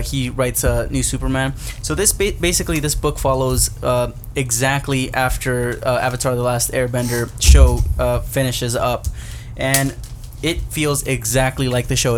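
A man's voice: medium pitch, a little hiss or hum. A man on a podcast panel, giving casual recommendations in conversational speech.

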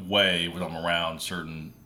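A man with a lowish voice and a bright, forceful edge, chatting casually as a podcast co-host. He talks thoughtfully and pauses often, with plenty of fillers and restarts. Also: steady tone. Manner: thoughtful, halting, many fillers. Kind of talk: casual conversation.

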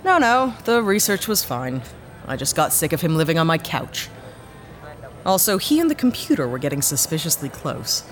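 Casting: actress as broke female starship pilot, sardonic and sceptical, lively swinging pitch, sci-fi audio drama with music underneath. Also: moderate pace. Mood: wry, bargaining. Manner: sarcastic, sceptical, hesitant asides. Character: wry, hard-up human woman spaceship pilot for hire.

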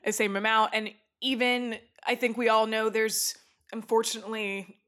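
A woman talking animatedly in a casual conversation, with quick asides and easy laughter. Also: a little high, level tone, a bit bright.

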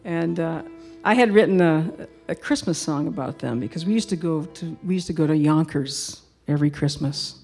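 A woman's voice: sits low, moderate pace, creaky, few pauses, mellow, faint music behind. A middle-aged woman singer-songwriter introducing a song in casual, rambling, reflective stage talk.

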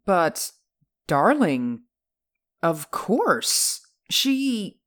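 A man voicing an affectionate young woman in an audiobook, raising his voice high and drawing out her words. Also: sing-song, extremely slow, fairly bright tone, exaggerated voice. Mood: puzzled. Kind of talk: audiobook character voice.

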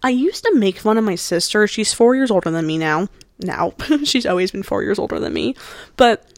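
A woman's voice: medium pitch, expressive, normal speed, dry recording, studio quality. A woman in her early twenties from New Jersey, hosting her own podcast in a rambling, chatty way full of fillers and restarts. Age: 20s. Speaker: podcast host. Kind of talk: casual solo podcast chat.

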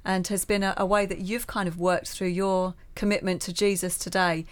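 A woman with a British regional accent at a mid pitch asks a guest questions in a radio studio. She is encouraging and attentive and backs him up with short murmurs of agreement. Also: little pitch movement, normal speed. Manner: encouraging, prompting with short affirmations. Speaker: co-interviewer. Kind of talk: warm interview questioning.

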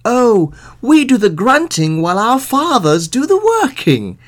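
A man's voice: extremely high pitch, wildly swooping pitch, unhurried, pitched above the performer's own voice, full character voice. A man voicing foolish, eager townsmen in a folk tale, with an emphatic, naively earnest manner.

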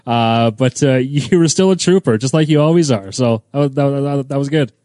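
A man's voice: medium pitch, moderate pace, mellow, no echo, clean studio sound. A man hosting a podcast, talking in a rambling, friendly way and often repeating words as he asks questions. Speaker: podcast host. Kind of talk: casual podcast chat.

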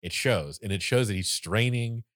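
A man with a deep voice chatting casually and animatedly as a co-host, often laughing and breaking into bits of song. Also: moderate pace, no echo, clean studio sound. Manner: animated, sings snippets of songs, laughs often. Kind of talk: casual chat.

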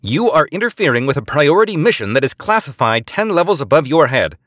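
A man's voice: fairly high pitch, sing-song, moderate pace, a bit bright, dry recording, clean studio sound, pitched above the performer's own voice. A man voicing a woman spy who bluffs in an imperious, order-barking tone. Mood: commanding. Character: commanding woman spy bluffing over comms.

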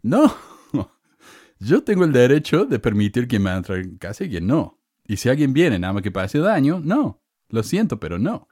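Lively Cordoba-accented man, dry close sound. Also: medium pitch, moderate pace, breathy edge, no room sound. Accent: Cordoba Argentine Spanish. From Córdoba, Argentina. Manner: indignant, sarcastic, rising emphatic peaks. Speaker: channel host. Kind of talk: casual monologue to camera.

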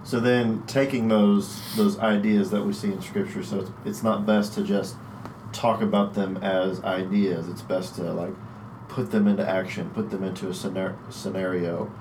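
A man's voice: low-pitched, level tone, normal speed, background noise. A man hosting a church podcast, reading a friendly, upbeat intro and asking his guest questions. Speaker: podcast host.

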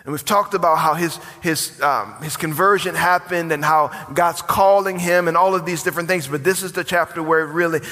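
Bright, high-voiced preaching pastor. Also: male voice, a little fast, hardly any pauses. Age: 40s. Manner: preaching with rising emphasis and call-and-response. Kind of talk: sermon. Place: church sanctuary. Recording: long hall reverb.

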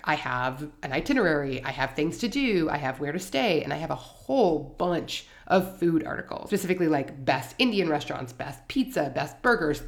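A woman hosting her own podcast, sounding a little froggy from a cold, talking candidly and briskly about her work. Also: low voice. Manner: brisk and candid, self-deprecating. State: hoarse from a cold. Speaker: podcast host. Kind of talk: solo podcast talk.

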